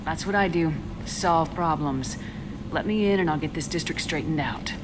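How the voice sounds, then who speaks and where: An actress playing a calm, direct female military commander in a video game, speaking in a firm, businesslike way. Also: low-pitched, normal speed, lightly acted. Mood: firm, persuasive. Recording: loud ambient noise behind voice.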